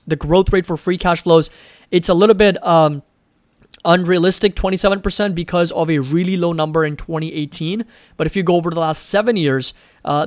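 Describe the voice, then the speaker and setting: A man's voice: on the high side, dry recording. A man hosting a live stream, giving explanatory financial analysis with frequent check-in questions. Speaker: livestream host and stock analyst.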